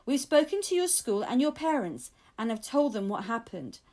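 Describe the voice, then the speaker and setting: A woman voicing a friendly, confident female police officer in a storybook, with brisk, jolly authority. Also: a little high, sing-song, warm tone.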